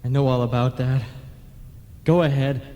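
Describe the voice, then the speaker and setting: An actor playing a defiant, fed-up ghost on trial, blunt and theatrical, in a radio play. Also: male voice, mid-range voice, steady tone, normal speed, dark tone, natural voice. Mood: defiant, sullen. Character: defiant, fed-up male ghost on trial, work-shy rebel. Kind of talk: old-time radio play acting. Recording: long hall reverb.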